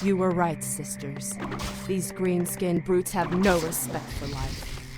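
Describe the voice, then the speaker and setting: A lowish, measured female voice as a righteous elven warrior. She is solemn and imperious in dramatic fantasy game style, with loud music behind her. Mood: righteous anger. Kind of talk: dramatic video game acting.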